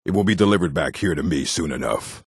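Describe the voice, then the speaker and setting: An actor, a man, playing a surly, domineering orc with a gravelly, raspy voice and brusque delivery in a video game. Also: low voice, expressive, quick pace, no echo, studio quality, full character voice. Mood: stern, grudging. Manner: curt, brusque. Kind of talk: video game character acting.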